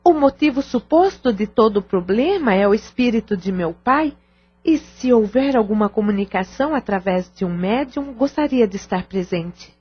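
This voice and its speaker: Hopeful polite daughter, raised pitch, formal, radio drama, dull recording. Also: female voice, animated, normal speed, husky. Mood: earnest request. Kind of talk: audio drama acting in Portuguese.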